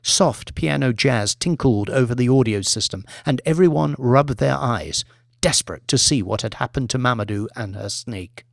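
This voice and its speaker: Measured male audiobook narrator, dry sound. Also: mid-range voice, creaky, dry recording. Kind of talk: scripted dramatic fiction narration.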